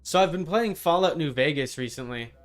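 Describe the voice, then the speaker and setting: A man's voice: a little high, expressive, fairly quick. A man giving casual live commentary as he streams a video game, rambling and easily sidetracked. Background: game music.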